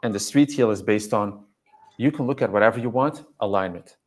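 A man's voice: on the low side, a little fast. A man speaking as a dog coach, explaining at length and emphatically in casual conversation. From Belgium. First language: not English. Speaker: dog trainer and coach, podcast guest.

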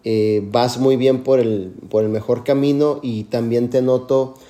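A man's voice: mid-range voice, fairly even intonation, measured pace, warm tone. A man mentoring on a group call, earnest and full of "este" fillers. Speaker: mentor answering questions.

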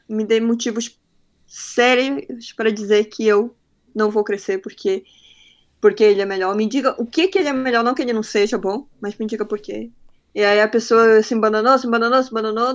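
A woman from northern Brazil with a faint northern Brazilian accent, a guest on a podcast who tells her stories in casual talk and acts out the people she quotes. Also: on the high side. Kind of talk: casual interview chat.

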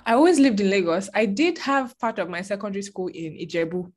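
A woman's voice: mid-range voice, expressive, fast talker, a little mellow. A young woman from Nigeria, chatting casually as a podcast guest and laughing often. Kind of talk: casual chat.

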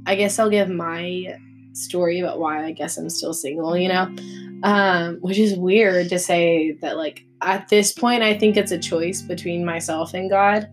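A woman, co-hosting a faith podcast in casual chat, earnest and halting with many restarts. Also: on the low side, fairly even intonation, soft background music. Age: 20s. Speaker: podcast co-host.

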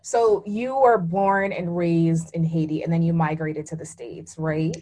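A woman's voice: on the low side, fairly even intonation, a little slow, mellow. A woman hosting a casual podcast interview, playful and self-deprecating, who often stumbles over her words. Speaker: podcast host.

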